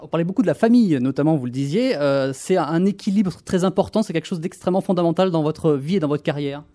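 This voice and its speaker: A man's voice: on the high side, fast, mellow. A man interviewing a guest, asking questions with frequent fillers and hesitations.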